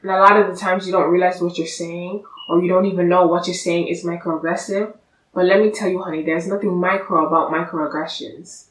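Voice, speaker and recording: A woman's voice: on the low side, distant mic, slight background noise. A young woman talking straight to camera in a blunt, sassy, lecturing vlog, seated on a sofa indoors. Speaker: vlogger. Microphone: camera at a distance. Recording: echoey room, voice from off-mic.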